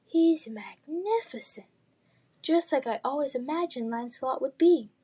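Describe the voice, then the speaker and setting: A woman's voice: sits high, animated, measured pace, warm tone, voice pushed up. A woman voicing a brave, defiant schoolgirl in a children's fantasy storybook, earnest and emphatic. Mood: awed.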